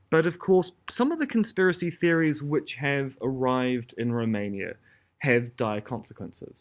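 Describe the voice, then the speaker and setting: A man with a New Zealand accent giving an academic lecture as a philosopher, measured and explanatory with a touch of dry humor. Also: mid-range voice.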